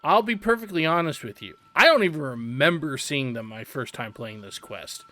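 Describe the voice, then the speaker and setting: Bright-voiced gaming commentator man. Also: on the high side, lively intonation, moderate pace, dry recording. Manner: friendly explaining, chatty asides. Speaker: gameplay commentator. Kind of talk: casual let's-play commentary.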